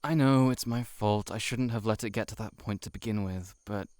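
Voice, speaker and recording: A man playing a shy, heartbroken friend in an intimate audio roleplay, confiding haltingly to the listener. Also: lowish voice, a little mellow, dry recording, studio quality, lightly acted. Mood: regretful, confessing. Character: shapeshifting monster posing as a shy, heartbroken male friend.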